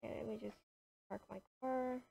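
A woman's voice: fairly high pitch, steady tone, vocal fry, warm tone, husky, full character voice. A young woman playing a shy, hesitant new worker in an improvised video game roleplay. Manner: hesitant, unhurried. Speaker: roleplay gamer. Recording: dull lo-fi home mic.

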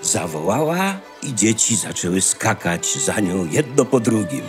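A man's voice: lowish voice, very animated, unhurried. A man narrating a scripted fairy tale as the narrator of a radio play. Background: music.

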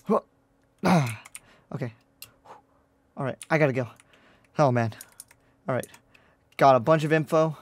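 A man's voice: medium pitch, measured pace, pauses often, natural voice. A man playing an exasperated, suspicious demon slayer who mutters in disbelief in an improvised anime roleplay. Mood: muttering, scheming. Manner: incredulous, muttering. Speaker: Minecraft roleplay player.

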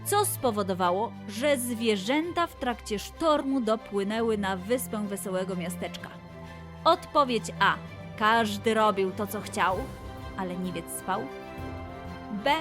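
A woman presenting a scripted quiz for children as host, announcing and addressing the young listeners directly. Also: on the high side. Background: music.

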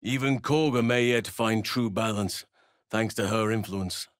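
Guy doing a kindly earnest druid from a fantasy game, lowish voice, courtly wording, dry studio sound. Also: no room sound, close to an everyday voice. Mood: warm, amused. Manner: formal, measured, courtly phrasing. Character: gentle, earnest male druid, protective guardian of children.

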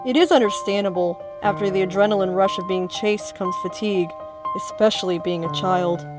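A woman voicing a protective male animatronic bear, speaking in a gentle, measured, formal way for a comic dub. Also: mid-range voice, fairly even intonation, loud music behind the voice, natural voice. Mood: tender.

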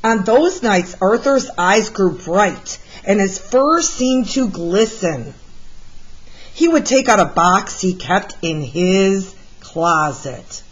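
Slow-paced woman reading a children's story. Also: mid-range voice, expressive, slightly rough, muffled recording. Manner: measured, deliberate storytelling delivery. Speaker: read-aloud storyteller, art teacher. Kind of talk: expressive children's picture book read-aloud.

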